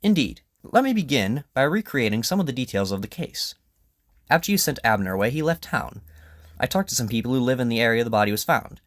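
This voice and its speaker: A man playing a brisk, confident detective in an audio drama, with a probing, theatrical, declarative manner. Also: medium pitch, sing-song, dry recording, clean studio sound, voice pushed up. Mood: confident, expository. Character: brisk, confident male detective, incisive and commanding.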